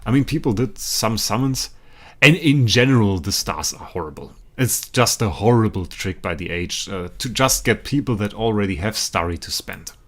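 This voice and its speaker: A young German man chatting casually with viewers while streaming a game, rambling and repeating himself, laughing often and breaking into sudden excited outbursts. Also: on the low side, normal speed. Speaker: livestreaming gamer.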